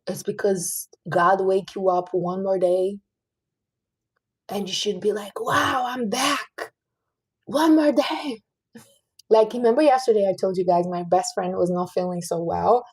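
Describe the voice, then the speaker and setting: A woman's voice: mid-range voice, a little mellow, slightly rough. A woman in her late thirties, chatting casually on a livestream and earnestly giving life advice to her viewers. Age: 30s. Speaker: livestream host.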